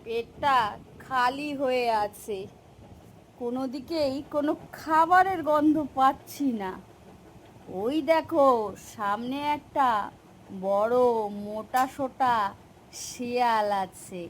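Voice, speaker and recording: A woman voicing a hungry, gullible tiger in an animated children's story, bossy but easily scared, with drawn-out delivery. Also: sits high, slight background noise, poor audio. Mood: hungry, gloating.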